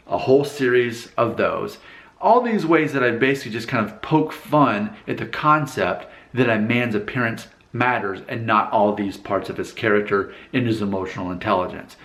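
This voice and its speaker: A man's voice: medium pitch, a bit bright. Candid man talking to camera, echoey room. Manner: candid, emphatic, preachy asides. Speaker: YouTube channel host. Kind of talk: casual talking-to-camera monologue.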